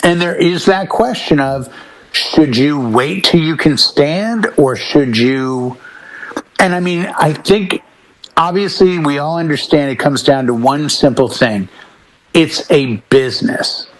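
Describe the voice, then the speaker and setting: Emphatic, higher-pitched man on a talk podcast. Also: takes it slowly, low-quality recording. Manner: emphatic, insistent; acts out imagined quotes as he tells stories. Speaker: podcast panelist. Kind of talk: casual opinionated discussion.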